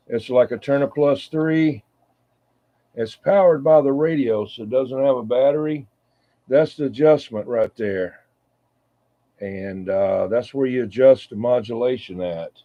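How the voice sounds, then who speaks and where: A man chatting casually and folksily in a meandering way as a radio hobby show host. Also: mid-range voice. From United States East Coast. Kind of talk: casual rambling hobby chat. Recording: muffled, phone-like narrow sound.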